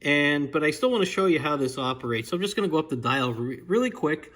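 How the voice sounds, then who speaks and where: An American man giving a casual, unscripted product demonstration in a measured, explanatory way. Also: a little high, expressive, fairly bright tone. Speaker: vintage radio collector presenting a video review.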